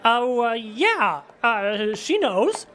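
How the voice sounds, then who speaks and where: A woman's voice: a little high, big pitch swings, drawn-out words, a bit bright, full character voice. A woman voicing a flustered, smitten young man in an audio drama, stammering and hesitant.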